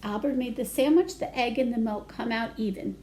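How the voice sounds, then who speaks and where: A woman's voice: a little high, fairly quick, mellow, slight background noise. A woman reading a picture book aloud to young children, with simple phrasing and asides to her listeners. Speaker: children's storytime reader.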